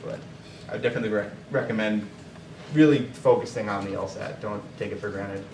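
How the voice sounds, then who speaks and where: A man's voice: on the low side, a little fast, a little mellow. A young man giving informal advice to students in an emphatic, colloquial way. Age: young adult. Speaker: panelist advising pre-law students. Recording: echoey, distant, faint background noise.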